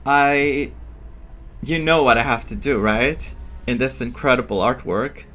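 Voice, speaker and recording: A man giving casual, musing playthrough commentary as a game streamer, with halting reactions. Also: mid-range voice, sharp tone. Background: game music.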